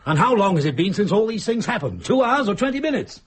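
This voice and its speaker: Insistent trapped husband, very husky voice, urgent, argumentative, widely swinging pitch, horror radio drama. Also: male voice, very animated, fast, exaggerated voice. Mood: agitated, demanding. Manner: urgent, argumentative, pressing questions. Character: agitated, insistent husband trapped in a haunted house. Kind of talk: dramatic dialogue acting, audio thriller.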